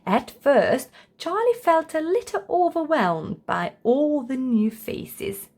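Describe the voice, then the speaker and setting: A woman's voice: high-pitched, sing-song, takes it slowly, a little mellow. A woman storyteller reading a children's book aloud as scripted narration.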